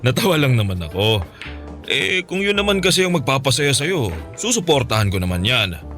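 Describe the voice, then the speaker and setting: A man with a Filipino accent playing a calm, teasing, henpecked middle-aged father in a radio drama. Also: mid-range voice, moderate pace, faint music behind.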